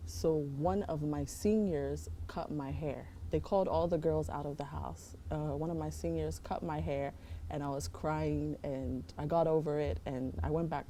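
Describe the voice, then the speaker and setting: Woman, talk show guest, relaxed storyteller, very low pitch, warm tone. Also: normal speed, a little hiss or hum. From California. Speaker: actress and TV host guest. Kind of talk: interview answers.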